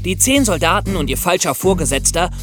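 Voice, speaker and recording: High-voiced, lively male podcast narrator. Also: a little fast, music in the background. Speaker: podcast co-host. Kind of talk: scripted story narration with light chat.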